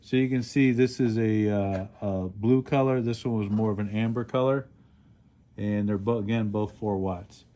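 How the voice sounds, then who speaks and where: A man hosting a casual product demonstration, explaining things step by step in a plain-spoken, halting way. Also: on the low side, fairly even intonation, mellow. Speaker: radio reviewer and host.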